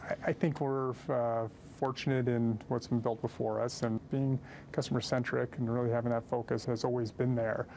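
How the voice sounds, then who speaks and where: An American man, a company president, giving unscripted interview answers in a hesitant, halting way. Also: mid-range voice, level tone, gravelly, darkish tone, a little hiss or hum.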